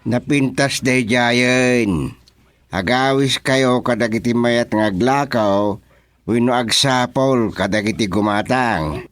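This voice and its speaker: A man performs a village chairman hatching business schemes in a radio comedy. He speaks with an Ilocano accent at a slow, persuasive pace, in a middling male pitch. The sound is dry and close. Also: fairly bright tone, no echo.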